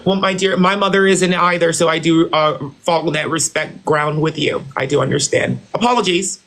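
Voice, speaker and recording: A man's voice: fairly high pitch, fairly even intonation, moderate pace, fairly bright tone. A man playing a smug boss and CEO. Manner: condescending, calls others "dear" and "honey". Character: haughty self-styled boss and CEO, male. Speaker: livestream host in character. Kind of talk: improvised live-stream roleplay.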